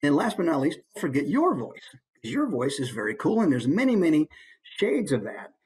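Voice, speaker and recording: A man speaking as a voice actor giving an informal instructional presentation, animated and demonstrating points with his voice. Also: a little high, fairly quick.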